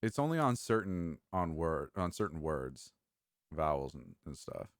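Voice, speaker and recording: A man's voice: extremely low pitch, sing-song, unhurried, dry recording, studio quality. A man co-hosting a casual chat, joking around and sometimes trailing off mid-thought. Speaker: podcast co-host.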